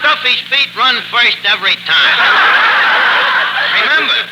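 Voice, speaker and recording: 1940s radio comedy: bumbling tavern manager, pompous malapropisms, very high voice, heavy broadcast noise. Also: male voice, very animated, a little slow, heavily acted. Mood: pompous patter. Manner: pompous malapropisms, fumbling delivery. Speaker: host.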